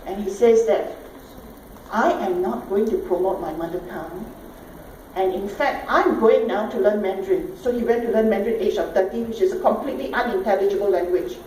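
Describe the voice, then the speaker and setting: A woman's voice: on the high side, mellow. A middle-aged woman from Singapore with a Singaporean accent, giving an emphatic conference talk full of rhetorical questions and checks like "all right?". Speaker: conference speaker. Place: conference hall. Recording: echoey hall, distant mic, faint noise.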